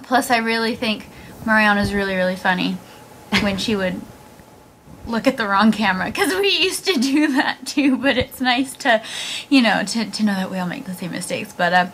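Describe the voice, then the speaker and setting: A woman's voice: medium pitch, moderate pace, fairly bright tone, slight background noise. A young woman co-hosting a talk show, chatty and rambling. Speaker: co-host. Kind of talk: casual chat over video call.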